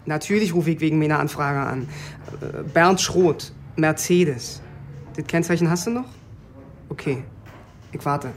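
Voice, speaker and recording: An actor playing a brisk, dogged detective in a radio drama, informal and colloquial. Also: male voice, fairly high pitch, slight background noise. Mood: businesslike. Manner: offhand, colloquial. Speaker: police detective. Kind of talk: naturalistic radio drama dialogue.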